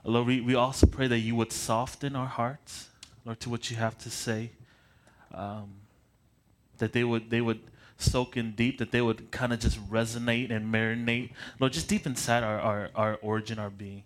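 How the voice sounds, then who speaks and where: A young man in his late twenties, a preacher from Michigan, talks in a loose, self-deprecating way, restarting phrases and letting out small chuckles. His pitch sits in an ordinary middle range for a man. A faint hiss of noise runs under the recording. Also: little pitch movement, moderate pace. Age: late 20s. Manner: paces around while preaching. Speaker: pastor. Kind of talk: prayer and sermon introduction.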